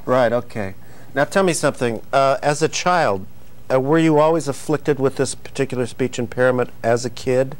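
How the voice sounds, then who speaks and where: A man's voice: mid-range voice, moderate pace. A man hosting a mock-serious comedy interview, asking questions hesitantly and prompting his guest with words. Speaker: interviewer, host.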